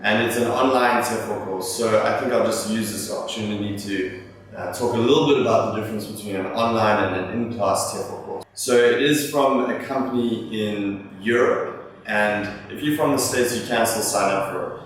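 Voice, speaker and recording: A man's voice: lowish voice, normal speed. Easygoing young man, echoey far-off sound. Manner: rambling, with fillers and restarts. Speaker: vlog host answering viewer questions. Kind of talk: casual talk to camera. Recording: echoey room from far off, faint noise.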